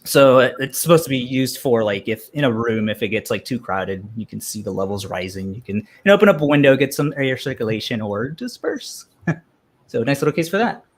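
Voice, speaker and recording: A man's voice: mid-range voice, sing-song, fairly quick. Casual man presenting a maker project. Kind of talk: casual show-and-tell demo.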